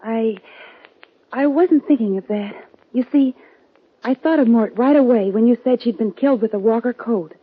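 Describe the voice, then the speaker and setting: A woman playing a tender, shaken young frontier bride-to-be with a breathy voice in a 1950s radio western. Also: a little high, normal speed, narrow, tinny audio. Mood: shaken, subdued. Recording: thin, band-limited 1950s radio recording.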